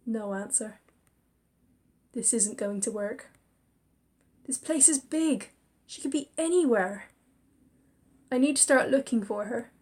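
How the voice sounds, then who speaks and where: A woman reading a visual novel aloud, expressive, with chatty asides. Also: on the high side, takes it slowly, long pauses. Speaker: reader and narrator. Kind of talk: narrating a visual novel with inner monologue.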